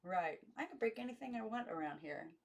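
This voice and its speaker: A showy young girl lording it over others in a storybook, played by a woman reading aloud. She explains things in an imperious, scornful manner, with her pitch lifted. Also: expressive, a little fast, creaky. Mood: bossy. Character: bossy, showy young girl playing know-it-all teacher.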